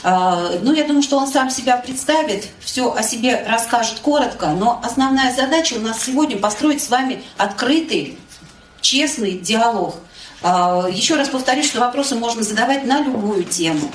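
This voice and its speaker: A woman moderating a public meeting, introducing the guests in a measured, formal way. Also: a little high, animated, a little hiss or hum. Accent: Russian. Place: meeting hall. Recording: echoey hall, mic at a distance.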